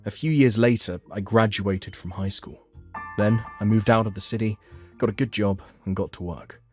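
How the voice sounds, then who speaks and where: A man's voice: on the low side, moderate pace. A man narrating a dramatized first-person story for a manga dub. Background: background music.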